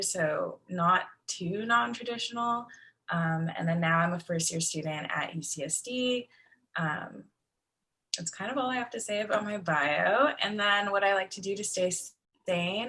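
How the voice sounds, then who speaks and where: Woman from Los Angeles, lowish voice, relaxed, brief. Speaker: medical student panelist. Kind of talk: casual panel talk.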